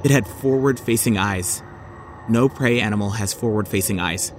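A man's voice: lowish voice, moderate pace, slight background noise. A man giving a dramatic first-person reading of a scripted horror story.

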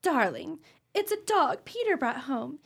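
A genteel lady of the house played by a woman in a radio play. The voice is very high and animated, with refined, fretful delivery and a nervous temper. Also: unhurried, gravelly, heavily acted.

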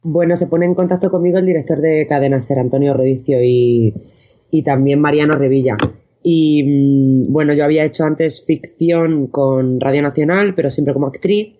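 A woman's voice: sits low, moderate pace, mellow. A woman from chatting casually as an interview guest. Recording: dull, band-limited sound.

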